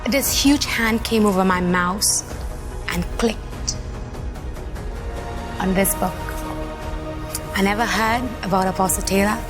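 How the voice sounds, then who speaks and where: A woman's voice: medium pitch, takes it slowly, pauses often, over music. A woman telling her religious testimony as a wondering story.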